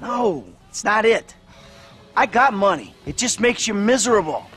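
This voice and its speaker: A man's voice: sits high, lively intonation, normal speed, fairly bright tone, soft background music. A man playing a middle-aged American career robber in a video game, sardonic, weary and short-tempered. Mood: exasperated. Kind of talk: video game cutscene acting.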